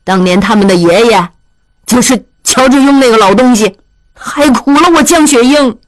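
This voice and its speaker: A woman's voice: a little high, moderate pace, no echo, voice pushed up, close to an everyday voice. A woman voicing a spiteful, self-pitying old widow who wails her grievances. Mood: bitter. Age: older. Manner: whining, wailing complaint.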